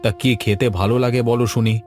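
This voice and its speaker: A man's voice: medium pitch, steady tone, fast, darkish tone, faint music behind, lightly acted. An actor playing a solicitous village nephew in an audio drama, coaxing as he talks. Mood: concerned, coaxing. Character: caring, coaxing village man visiting his aunt. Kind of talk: acted audio story dialogue.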